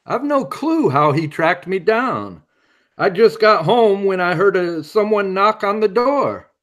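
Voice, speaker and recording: A man's voice: high-pitched, lively intonation, measured pace, a bit bright. A man playing a wry pulp hero who tells his story in a dramatic, hardboiled way, in an audio drama. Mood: casual, sardonic. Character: wry male masked hero recalling his alien abduction.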